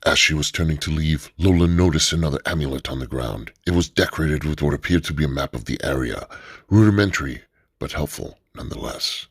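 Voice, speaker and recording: A man narrating a radio drama in a steady, measured storytelling manner. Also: a bass voice, husky, no echo, studio quality.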